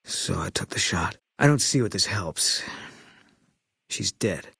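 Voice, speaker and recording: An actor playing a terse, bitter ex-army sniper in a video game, speaking in clipped, blunt lines. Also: male voice, sits low, lively intonation, measured pace, vocal fry, a bit bright, slightly rough, no echo, exaggerated voice. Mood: guarded. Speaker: companion character in a video game. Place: recording studio. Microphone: close studio microphone.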